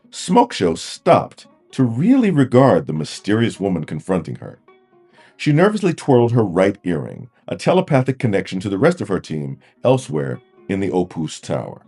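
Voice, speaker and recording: Very deep, theatrical male narrator. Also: huge pitch swings, normal speed, mellow. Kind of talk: scripted fantasy audio drama narration. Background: music.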